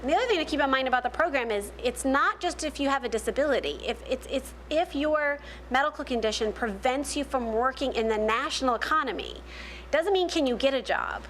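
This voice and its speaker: Explanatory woman panelist, higher voice. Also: lively intonation, brisk, fairly bright tone, a little hiss or hum. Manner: explanatory, many restarts and fillers. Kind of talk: panel discussion answer.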